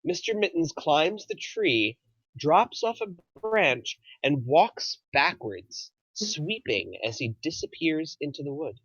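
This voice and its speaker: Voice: medium pitch, huge pitch swings, slow, creaky, fairly bright tone, husky, no room sound. A narrator reading out stage directions from a film script at a table read. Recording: dry but dull, muffled audio.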